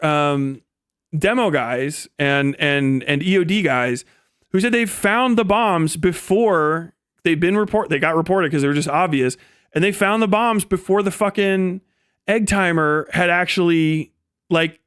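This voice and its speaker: Middle aged guy swearing and rambling thru stories on stream, really clean voice, kinda high. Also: fairly bright tone, smooth, no echo, clean studio sound. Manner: profane, slangy, rambling. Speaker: streamer telling stories from military service. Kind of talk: casual livestream storytelling.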